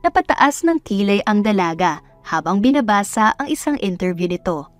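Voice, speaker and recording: A woman's voice: medium pitch, big pitch swings, normal speed. A woman with a Filipino accent reading scripted romance story narration. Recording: dry voice over background music. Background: music.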